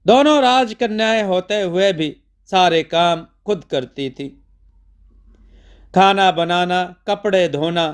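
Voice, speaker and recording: High-pitched, clear-voiced male storyteller.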